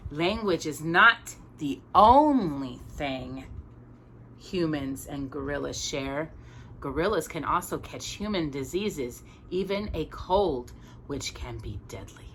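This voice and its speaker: A woman's voice: low voice, lively intonation, slow, fairly bright tone, a little hiss or hum. A woman reading a children's picture book aloud as a storytime reader, expressively and with small asides to a young listener.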